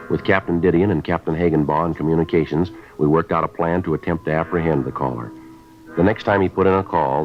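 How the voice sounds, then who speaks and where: An American actor playing a terse, deadpan police detective who narrates his case in a 1950s radio drama style. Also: a bass voice. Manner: deadpan, matter-of-fact. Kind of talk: 1950s radio acting, clipped scripted narration. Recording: dull vintage radio recording with music.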